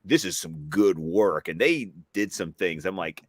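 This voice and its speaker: A man's voice: deep voice, a little slow, no echo, clean studio sound. A man co-hosting a stream, chatting casually, joking and throwing in playful asides. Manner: animated, jokey, playful asides. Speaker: co-host of a watch-along stream. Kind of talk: casual commentary chat.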